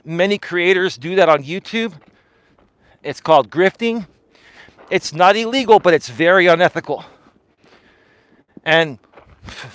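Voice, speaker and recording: A man with a fairly high voice rants to his live-stream audience, blunt and argumentative, and keeps qualifying his points. The sound is dry and close, with no room echo, captured on a mobile mic while he walks outdoors. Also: fairly bright tone, clean tone. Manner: blunt, argumentative, repeats "in my opinion". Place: outdoors on a walk.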